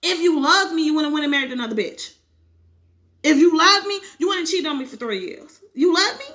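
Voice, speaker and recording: A woman voicing a betrayed wife who screams at her husband, shrill and tearful. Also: fast talker, a bit bright. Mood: furious.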